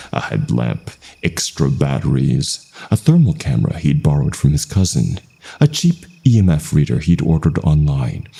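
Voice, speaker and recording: Very deep, gravelly male horror narrator. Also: warm tone. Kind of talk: scripted first-person horror narration.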